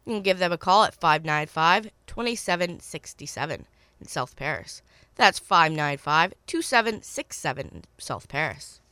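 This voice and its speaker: A woman with a cold hosting a call-in swap show on the radio, reading listings and repeating phone numbers slowly and clearly. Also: low voice, sing-song, sharp tone, dry recording. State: stuffy from a cold.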